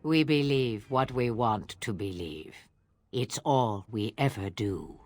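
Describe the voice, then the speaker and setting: A woman's voice: a bass voice, expressive, slow pace, darkish tone, no echo, full character voice. An actress playing a sly, cryptic old witch in a video game, speaking theatrically in riddles. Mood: musing. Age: older. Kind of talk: video game drama acting.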